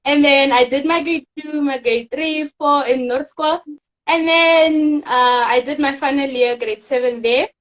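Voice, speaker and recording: Teen girl guest, high voice, soft-spoken, muffled lo-fi audio. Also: measured pace. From South Africa. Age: teens. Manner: soft-spoken, rambling storytelling with fillers. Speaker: guest, teen pageant winner. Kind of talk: casual interview chat.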